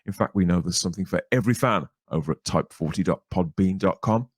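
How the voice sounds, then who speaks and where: A man's voice: sits low, fast talker, husky, no echo, studio quality. A man hosting a podcast, introducing and interviewing in a chatty, wordy manner with theatrical presenter phrasing. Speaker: podcast host.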